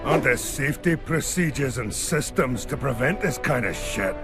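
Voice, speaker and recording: A man playing a weary, sardonic soldier in a sci-fi drama, gravelly and blunt. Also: mid-range voice, expressive, normal speed, close to an everyday voice. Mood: wary, sardonic. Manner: blunt, informal, questioning. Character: wounded, weary, sardonic male human soldier. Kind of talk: scripted sci-fi audio drama acting. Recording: music under the voice. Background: background music.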